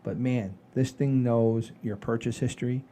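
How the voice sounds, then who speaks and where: Mellow-voiced man telling rambling stories. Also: mid-range voice, fairly even intonation, a little slow, a little hiss or hum. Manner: rambling, repeats himself, folksy "I says". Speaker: comedy podcast host. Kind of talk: casual comedic chat, telling anecdotes.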